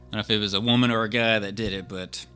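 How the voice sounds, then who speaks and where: A man's voice: on the low side, fairly even intonation, fast, pushed and bright. A man co-hosting a podcast, chatting casually in a rambling, repetitive, informal way. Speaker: podcast co-host. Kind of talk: casual chat about old radio horror. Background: faint music.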